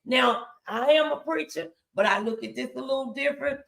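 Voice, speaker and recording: Female faith-show host, noticeable vocal fry, emphatic preacherly delivery aimed at viewers. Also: sits high, a little fast, fairly bright tone. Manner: exhortatory, emphatic, addressing viewers directly. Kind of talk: motivational faith talk on a livestream.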